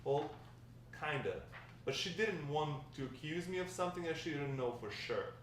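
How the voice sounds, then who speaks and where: A man playing a grandfather who fondly recounts his wild, lovestruck youth in a halting table read. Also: on the high side, animated, natural voice. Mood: rueful, confiding. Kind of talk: table read of a dramatic script. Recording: echoey room, distant mic, faint noise.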